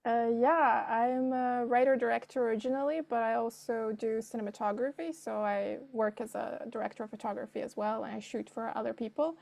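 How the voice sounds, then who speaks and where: A woman's voice: on the high side, steady tone, brisk, creaky, hardly any pauses, a little mellow. A woman, answering interview questions conversationally and thinking aloud as she goes. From Serbia. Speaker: filmmaker being interviewed.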